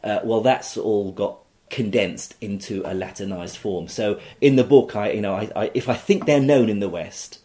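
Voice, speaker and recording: Man, deep voice, interview guest, grew up in iraq, explanatory, lots of restarts. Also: normal speed, few pauses, warm tone. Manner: explanatory, many fillers and restarts. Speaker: author, guest. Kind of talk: interview conversation.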